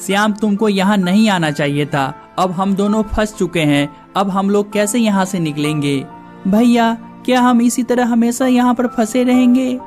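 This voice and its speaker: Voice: mid-range voice, fairly even intonation, normal speed, darkish tone, faint music behind, close to an everyday voice. An actor voicing a greedy, lazy young villager hunting treasure in a cartoon story, full of exclamations. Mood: worried. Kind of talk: cartoon moral story dialogue.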